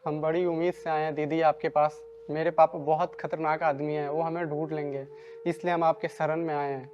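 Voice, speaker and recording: A man's voice: a little high, little pitch movement, brisk, darkish tone, dull audio, slight background noise, close to an everyday voice. A young man playing a devoted, anxious runaway groom in a Hindi moral drama. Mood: anxious devotion.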